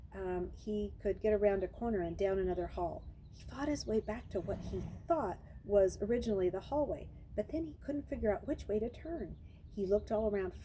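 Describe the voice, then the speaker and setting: A woman's voice: mid-range voice, mellow, a little hiss or hum, low-quality recording. A woman reading a children's novel aloud, narrating expressively and dramatizing the story.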